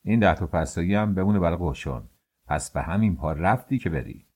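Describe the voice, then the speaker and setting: A man's voice: low-pitched, animated, darkish tone. A man voicing a middle-aged male master baker who gives orders to his worker in a commanding, teasing way. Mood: bantering.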